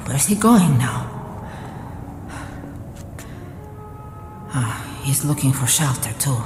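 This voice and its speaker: An actress playing a wary, weary lone woman surviving in a ruined war zone, talking to herself in halting, dramatic radio play style. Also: rumbling low, expressive. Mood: wary, urgent. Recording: echoey reverberant hall, music behind.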